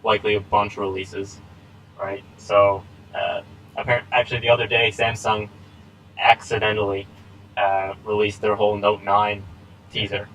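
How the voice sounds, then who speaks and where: Low-voiced guy in his 20s, rambly podcast chat, bit of background hiss. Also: moderate pace, rough recording. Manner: relaxed, rambling with many fillers and laughs. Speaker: podcast co-host. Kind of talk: casual chat. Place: small home setup. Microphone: laptop recording.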